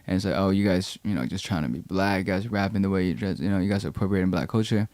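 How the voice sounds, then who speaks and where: A man's voice: low-pitched, very fast, a little mellow, no echo. A young man, a rapper guest talking in a laid-back, slangy way on a podcast. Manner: laid-back, slangy, quotes people in raised voices. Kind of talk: casual podcast conversation.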